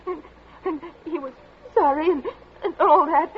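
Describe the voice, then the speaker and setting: A woman playing a frightened, distraught young daughter in a radio drama, halting, stammering and tearful as she answers her stern father. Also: high-pitched. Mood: sobbing, pleading. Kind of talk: 1940s radio drama acting. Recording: muffled, noisy old radio recording.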